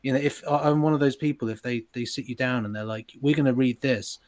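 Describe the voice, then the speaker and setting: A man's voice: mid-range voice, quick pace, a little mellow. A man, a sound designer chatting casually on a livestream, self-deprecating and full of restarts. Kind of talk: casual chat.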